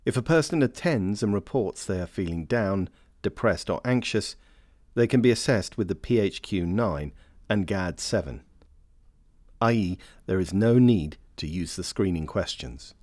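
A man reading scripted instructional narration for a health training film in a formal, measured way. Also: low-pitched, lively intonation, a little mellow, no room sound, studio quality.

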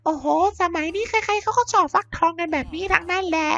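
A woman voicing a cheerful male villager uncle with a squeaky, pitched-up cartoon voice. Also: fast, creaky, fairly bright tone, full character voice. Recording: dull, muffled sound.

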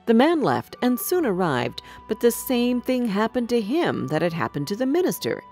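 A woman narrating a scripted fairy tale for children in an expressive, measured storytelling manner. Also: a little high, soft background music.

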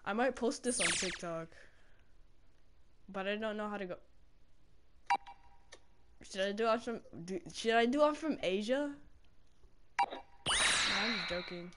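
A man giving casual, excitable commentary while playing a fighting game, shouting in fights and mumbling between rounds. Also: high-pitched, measured pace, pauses often, a little hiss or hum. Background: loud game music and sound effects.

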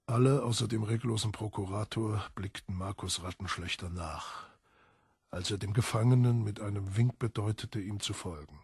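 A male audiobook narrator with a deep, very husky voice. He reads literary prose in a solemn, measured way with dramatic emphasis. The recording is dry, with no room sound. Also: no room sound.

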